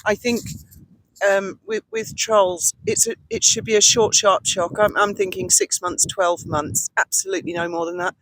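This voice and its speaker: A woman's voice: a little high, very animated, normal speed, gravelly, low-quality recording. Outspoken British woman with a carrying voice. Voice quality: loud, carrying voice. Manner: blunt, outspoken. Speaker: vlog co-host. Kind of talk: casual, opinionated chat. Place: outdoors on a boat deck at a marina, breezy evening. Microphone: cheap handheld microphone passed between speakers.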